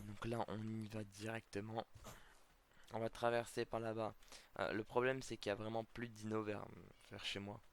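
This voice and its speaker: A man's voice: on the low side, creaky. A man with a slight cold chatting casually to viewers while he plays a video game, hesitant and full of fillers. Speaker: gameplay video host. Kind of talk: casual let's-play commentary to viewers.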